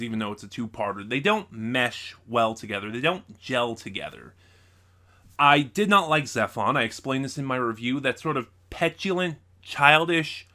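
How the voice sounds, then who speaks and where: A man's voice: mid-range voice, pushed and bright. A man hosting a casual review video, speaking in a conversational, opinionated way straight to the audience. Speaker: video reviewer and channel host.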